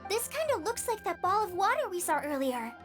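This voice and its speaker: A woman's voice: extremely high pitch, very animated, brisk, exaggerated voice. A woman voicing a sweet, innocent little girl in a video game, with a childlike, earnest, wondering manner. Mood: curious. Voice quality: childlike, bright-eyed. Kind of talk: video game cutscene acting. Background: game music.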